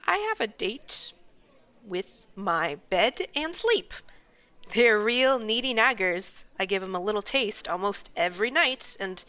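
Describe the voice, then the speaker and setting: A woman voice actor playing a bossy, sarcastic young pizza restaurant supervisor in a comedic radio play, with a brisk, dry delivery. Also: medium pitch, sing-song, sharp tone. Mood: playful, wry.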